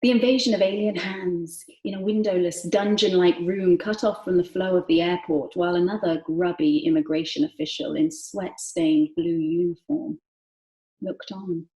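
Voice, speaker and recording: A woman reads literary prose aloud in a fairly low voice. Her delivery is dramatic and expressive, shifting between hushed and emphatic. The room around her voice is clearly echoey. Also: moderate pace. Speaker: actor reading from a novel.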